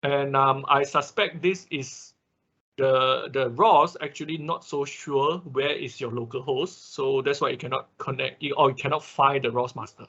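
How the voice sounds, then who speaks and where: Male class instructor, forward bright tone with some rasp, fielding questions haltingly over a dull online call. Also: mid-range voice, steady tone.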